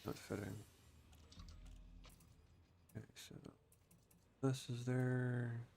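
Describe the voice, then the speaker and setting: A man's voice: mid-range voice, steady tone, very slow, vocal fry, mellow, slight background noise. A man giving casual gameplay commentary as a streamer, thinking aloud and explaining things informally. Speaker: game streamer.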